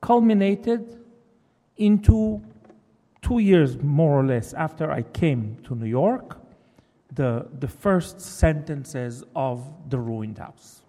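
Hebrew-native guy lecturing about his writing, stops and restarts a lot, mellow tone, long hall echo. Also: a little high, lively intonation. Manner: thoughtful, halting, with many restarts. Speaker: novelist giving a talk. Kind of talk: reflective public talk.

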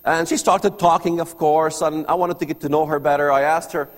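A man's voice: a little high, fairly even intonation, brisk. Man, echoey hall. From Palestinian territories. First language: Arabic. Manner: earnest storytelling, rhetorical questions. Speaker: speaker giving testimony. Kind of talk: personal testimony talk to an audience.

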